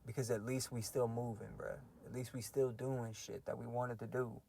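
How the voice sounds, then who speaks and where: A man's voice: mid-range voice, level tone, moderate pace, gravelly, dark tone, slight background noise. A man in his twenties talking loosely and reflectively in a casual solo rant, full of slang. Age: 20s. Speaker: podcast host ranting.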